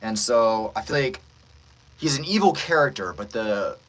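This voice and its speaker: A man's voice: fairly low pitch, lively intonation, normal speed, fairly bright tone, low-quality recording. A man hosting a casual livestream as a voice actor, joking playfully with the viewers as he talks.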